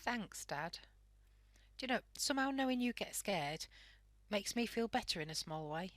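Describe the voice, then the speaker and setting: A woman's voice: mid-range voice, animated, normal speed, lightly acted. A young woman playing a worried, affectionate daughter in a wartime radio play, speaking earnestly. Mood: sympathetic. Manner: earnest, gentle.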